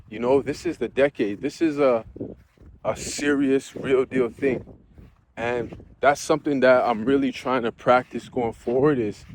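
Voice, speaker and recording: A man's voice: medium pitch, slight background noise. A man in his thirties talking earnestly and casually about life goals, often asking "you know?" as he reflects. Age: 30s. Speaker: vlogger. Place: outdoors near geese.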